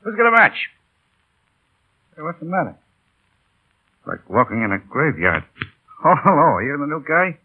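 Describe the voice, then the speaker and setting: A tinny old radio sound carries a husky veteran flier. His pitch is middling but swings widely, and he has a dry, deadpan way with words. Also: normal speed, long pauses. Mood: breezy. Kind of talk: 1930s radio drama acting. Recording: tinny, band-limited old radio recording.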